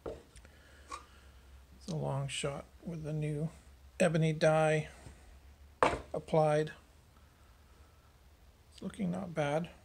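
A man's voice: a little high, little pitch movement, very slow, gravelly, pauses often, noisy background. A man giving a casual, hands-on demonstration as a hobbyist, thinking aloud a little hesitantly. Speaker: hobbyist restorer presenting a tutorial.

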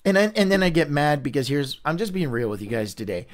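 Male Bible study host with a slightly high voice. Candid, earnest, conversational, and prone to restarts. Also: sing-song, fast. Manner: rambling with frequent restarts. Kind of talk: livestreamed Bible reading and discussion.

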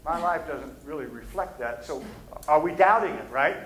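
Middle-aged man, Midwestern accent, pastor, conversational sermon, fairly high voice, echoey sanctuary. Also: lively intonation, moderate pace, fairly bright tone. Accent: Midwestern American. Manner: casual, plain-spoken, asks the room for agreement. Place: church stage, behind a pulpit.

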